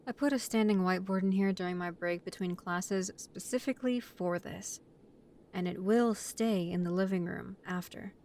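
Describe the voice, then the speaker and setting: A woman acts out a young vampire college housemate in a roleplay audio drama. She has a medium-pitched female voice and sounds bossy and a little exasperated. Her delivery is organized and matter-of-fact, as if running a house meeting. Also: normal speed, darkish tone, a little hiss or hum, natural voice.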